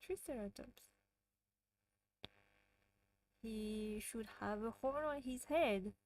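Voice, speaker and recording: A woman's voice: fairly high pitch, measured pace, darkish tone, slight background noise, low-quality recording. A woman from France with a French accent, hosting a casual livestream and chatting with viewers, often giggling. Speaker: livestream host.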